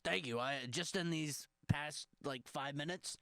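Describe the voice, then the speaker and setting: A man's voice: fairly high pitch, measured pace, sharp tone, no echo, clean studio sound. Playful man riffing on a podcast. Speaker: podcast co-host. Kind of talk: improvised comedy banter.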